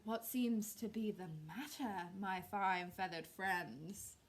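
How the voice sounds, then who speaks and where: Sly male fox acted by a woman, drawling, smirking, smooth-talking, greedy storybook villain. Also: medium pitch, slow, slight background noise, pitched below the performer's own voice, natural voice. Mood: oily, sly.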